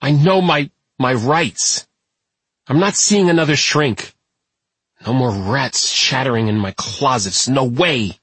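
A man voicing a troubled, paranoid woman in an audiobook, soft and whispery, shifting between bewildered, bitter and weary. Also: a little high, sing-song, slow pace, husky, no echo, pitched above the performer's own voice, exaggerated voice. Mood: snarling, bitter. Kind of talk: audiobook character voice.

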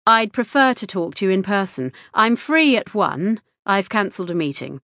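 A woman's voice: mid-range voice, big pitch swings, moderate pace, fairly bright tone, no room sound, clean studio sound. A woman reading the lines of a composed, precise middle-aged male hospital consultant in an audiobook, with a clipped, formal manner.

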